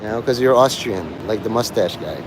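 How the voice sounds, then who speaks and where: A man's voice: fairly low pitch, brisk. A man giving a casual, rambling monologue to listeners, digressive and blunt, with profanity. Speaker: live streamer. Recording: clear outdoor traffic and vehicle noise.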